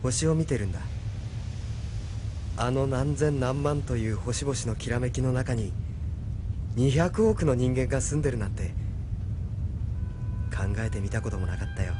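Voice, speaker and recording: A man's voice: mid-range voice, natural voice. An actor playing a sincere, pensive nineteen-year-old young man in an audio drama, speaking earnestly. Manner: earnest, wistful, introspective. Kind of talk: anime-style audio drama acting.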